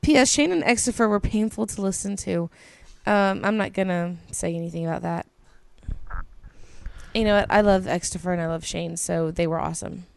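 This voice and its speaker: A woman's voice: lowish voice, moderate pace, gravelly, smooth, no echo. Gushing, swearing woman podcast co-host. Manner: gushing, swears freely, many ums. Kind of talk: casual chat, reading listener emails aloud.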